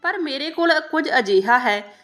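Woman voicing a sorrowful old bedridden man, high-pitched. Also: expressive, moderate pace, fairly bright tone, soft background music. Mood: sad resigned.

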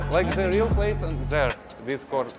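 A man chatting casually and apologetically in halting, giggly broken English in a gym. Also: a little high, expressive. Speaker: prankster host posing as a beginner gym cleaner. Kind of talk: casual prank chat with strangers. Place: busy gym, indoors. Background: music.

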